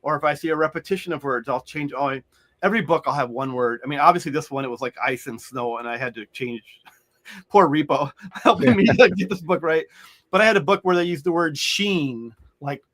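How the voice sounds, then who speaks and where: A man's voice: a little high, a little fast, fairly bright tone. A middle-aged man, an author, talking in a loose, rambling way with many restarts as an interview guest. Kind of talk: conversational interview.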